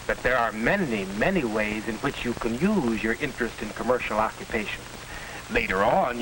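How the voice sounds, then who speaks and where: An American actor playing a reassuring school counselor in a 1940s instructional film, with formal, deliberate, didactic delivery. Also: male voice, medium pitch, lightly acted. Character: measured, reassuring male school vocational counselor. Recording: noisy, hissy 1940s film soundtrack.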